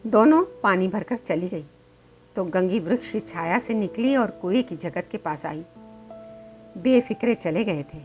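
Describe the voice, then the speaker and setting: A woman's voice: medium pitch, animated, measured pace, a little mellow. A woman storyteller reading a scripted literary story as narration. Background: light background music.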